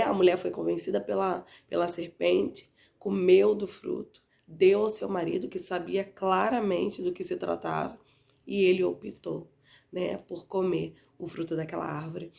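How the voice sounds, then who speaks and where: Woman teaching bible class with a cold, stuffy nasal voice, bit hoarse, gravelly, keeps tossing in little "né?" checks. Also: fairly low pitch, a little mellow. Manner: conversational, frequently adds "né?" tag questions. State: congested and slightly hoarse from a cold.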